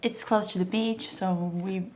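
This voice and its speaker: A woman's voice: medium pitch, steady tone, measured pace. A young Brazilian woman, a native Portuguese speaker with a Brazilian accent, chatting as an interview guest, hesitant, with many filler words and frequent laughs. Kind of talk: casual interview chat.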